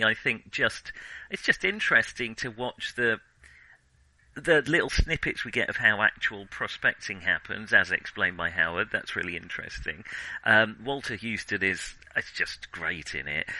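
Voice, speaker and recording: A man's voice: fairly low pitch, animated, moderate pace, sharp tone, dry recording, low-quality recording. A man co-hosting a podcast, discussing films casually in a hesitant, discursive way that often corrects itself. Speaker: podcast co-host.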